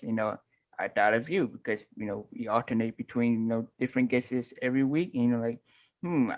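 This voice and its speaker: A man's voice: medium pitch, a little mellow. A man co-hosting a casual podcast, rambling and filling gaps with "you know.". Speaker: podcast co-host. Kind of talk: casual chat.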